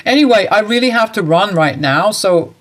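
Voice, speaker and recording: A woman voicing a grown son who coldly brushes his mother off, speaking in a flat, matter-of-fact way. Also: medium pitch, normal speed, lightly acted.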